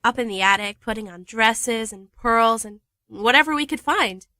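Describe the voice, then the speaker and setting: A woman's voice: on the high side, normal speed, bright, forceful tone, dry recording. A woman playing Diana, a chatty, teasing wife living in a remote cottage. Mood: nostalgic, cheerful. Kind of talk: amateur radio play.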